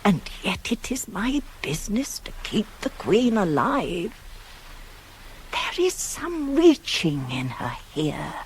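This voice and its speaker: A woman's voice: medium pitch, very animated, a little hiss or hum. An actress playing a devoted, worldly-wise older nurse to a queen in a radio Greek tragedy, theatrical and insistent. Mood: grave, worried. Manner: theatrical, insistent, measured, weighty phrasing. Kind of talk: 1980s radio drama acting of Greek tragedy.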